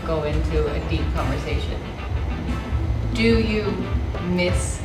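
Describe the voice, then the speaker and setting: A woman's voice: low-pitched, takes it slowly, echoey room, recorded from a distance, over loud music. A woman chatting casually as she puts a question as an interviewer. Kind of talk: casual chat.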